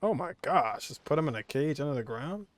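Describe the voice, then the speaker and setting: A man's voice: fairly high pitch, animated, fairly quick, a little hiss or hum. A young man giving informal let's play commentary, reacting aloud to the game with its music behind him. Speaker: gaming streamer.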